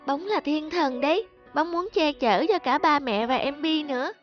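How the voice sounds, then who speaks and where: A woman's voice: sits high, normal speed, muffled recording, soft background music, natural voice. A woman voicing a small, earnest angel girl in a cartoon, childlike and polite, speaking lines. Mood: sweet, pleading. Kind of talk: animated fairy-tale dubbing.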